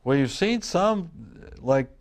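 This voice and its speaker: A man's voice: on the high side, lively intonation, takes it slowly. A middle-aged man from California, a wine grape grower, telling long family stories in a casual interview with frequent restarts. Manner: rambling, restarts sentences often.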